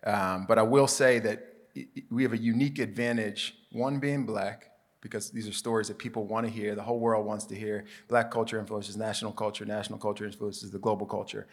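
Casting: male entrepreneur with a low voice, halting at first, then explaining steadily. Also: fairly even intonation. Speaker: panelist, business owner. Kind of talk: live panel discussion.

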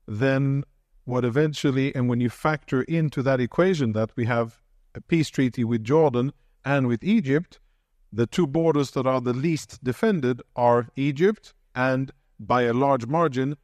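A man answering an audience question at length in a measured, halting way, restarting and repeating words. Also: medium pitch, a little mellow, dry recording, studio quality. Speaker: panel speaker on security.

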